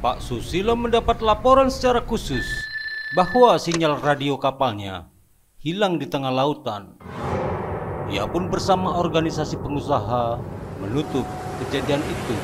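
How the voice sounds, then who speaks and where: A man giving scripted, steady narration for a horror cartoon. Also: fairly high pitch, normal speed, pauses often, heavy background noise. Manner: steady storytelling. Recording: music under voice.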